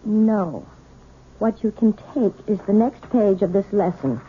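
An actress playing a gentle, frightened female piano teacher in a 1970s radio drama, hesitant and stammering. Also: mid-range voice, lively intonation, a little slow, close to an everyday voice. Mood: tense. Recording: tinny lo-fi old radio broadcast with faint hiss.

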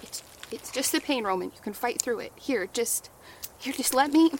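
A woman's voice: on the high side, animated, normal speed, a little hiss or hum. A woman playing a desperate young traveler between worlds. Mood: urgent. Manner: urgent, explaining, losing memory. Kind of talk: horror audio drama.

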